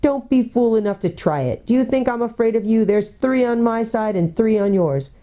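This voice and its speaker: A woman's voice: on the high side, lively intonation, moderate pace, mellow, voice pushed up, natural voice. Woman playing a bitter, sneering male dwarf. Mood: angry, defiant. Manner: cold, snapping, argumentative.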